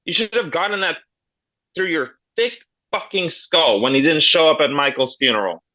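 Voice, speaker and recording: A man's voice: a little high, normal speed, no room sound, lightly acted. A man reading the part of a brash, foul-mouthed grown man with crude, swaggering banter in an amateur table read. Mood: angry. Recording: slightly dull lo-fi.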